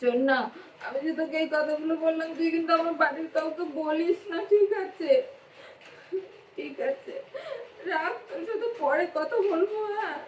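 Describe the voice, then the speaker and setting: A woman's voice: very high voice, a little fast. A woman playing a meek, hurt young wife in a domestic drama, confiding plaintively. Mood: pleading. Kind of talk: amateur domestic drama acting. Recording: echoey room, distant mic, faint noise.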